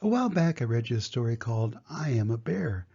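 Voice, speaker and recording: A man's voice: medium pitch, big pitch swings, brisk, creaky, mellow, slightly rough. Older man reading a storybook, muffled sound. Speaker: grandfather reading picture books to children. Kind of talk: storybook read-aloud narration. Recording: dull lo-fi home recording.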